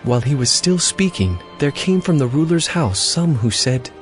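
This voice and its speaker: Man, audio Bible narrator, mid-pitched voice, scripted storytelling, faint music underneath. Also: expressive, normal speed.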